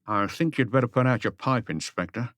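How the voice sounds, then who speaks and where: A man's voice: medium pitch, brisk, a bit bright, dry recording, clean studio sound, voice pushed up, natural voice. A man voicing a grumbling, informal police inspector on guard duty in an audiobook. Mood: grumbling, confiding. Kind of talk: audiobook character voice.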